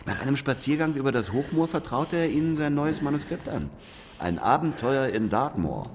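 An actor in a German radio drama playing a shrewd, sardonic escape artist, a needling skeptic with theatrical pauses. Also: male voice, mid-range voice, sing-song, slight background noise. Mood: accusing, sardonic.

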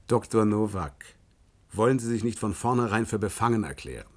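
An earnest male legal official in a radio play, with a rough, husky voice. He is formal and concerned, appealing to colleagues with some hesitation. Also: fairly low pitch, animated, a little fast. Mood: concerned, urging. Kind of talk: German radio play acting.

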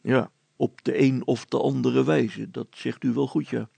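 A man's voice: mid-range voice, fairly even intonation, measured pace, creaky, warm tone, breathy edge, no echo, full character voice. A man voicing a plain-spoken, worried and sceptical amateur inventor in a storybook, talking in a colloquial, grumbling way. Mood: doubtful, grumbling.

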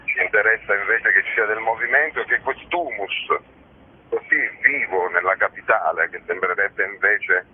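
Husky, low-voiced man in interview. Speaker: festival founder and organiser, interview guest. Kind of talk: conversational radio interview. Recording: muffled, lo-fi radio interview audio.

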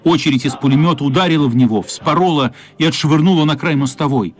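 A man's voice: on the high side, quick pace. A man reading literary narration as the storyteller of a children's radio play.

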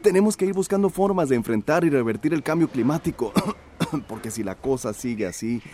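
A man's voice: mid-range voice, lively intonation, a little fast, mellow, soft background music, natural voice. A man acting a concerned, reflective countryman with a cough in a radio soap. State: coughing repeatedly.

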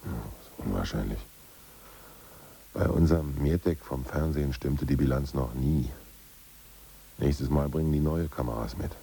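An actor playing an older ousted Politburo member, a bossy schemer who often talks in hushed, conspiratorial whispers. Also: male voice, very deep voice, normal speed, gravelly, pauses often, breathy edge, heavily acted. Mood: scheming. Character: ousted old party boss, scheming and bossy. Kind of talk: 1980s radio play acting.

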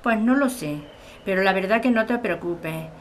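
A woman's voice: mid-range voice, normal speed, slight background noise, close to an everyday voice. A woman playing a practical supporter in a radio drama, reassuring and explaining things in a soothing manner.

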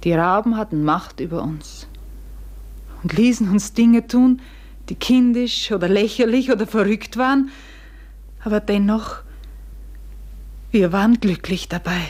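An actress playing a farmer's wife who recounts an uncanny event with wonder, in measured, literary radio play narration. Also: female voice, mid-range voice, a little mellow, lightly acted. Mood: wistful, marvelling. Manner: measured, literary, deliberate delivery.

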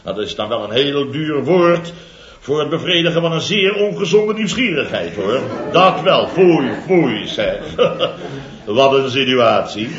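An actor playing a boastful middle-aged contractor, telling a comic story in a theatrical, chatty way for a 1970s radio comedy serial. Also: male voice, mid-range voice, animated, measured pace, echoey room, lo-fi sound, a crowd in the background, lightly acted. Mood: indignant.

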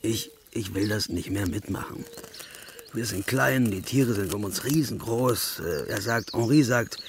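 Old village soldier in a radio drama, lowish voice, earnest, stumbles over his words, bit of noise behind. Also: unhurried. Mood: agitated, embarrassed. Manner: rambling, stammering storyteller. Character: old soldier, simple, earnest, believes in folk remedies. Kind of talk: German radio play acting.

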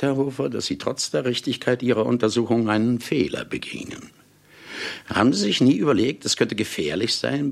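Smug famous writer who kills, german radio play, deep creaky voice, super condescending and theatrical. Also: male voice, full character voice. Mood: quietly menacing. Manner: urbane, condescending, theatrically deliberate. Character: celebrated male writer, smugly confessing serial murderer.

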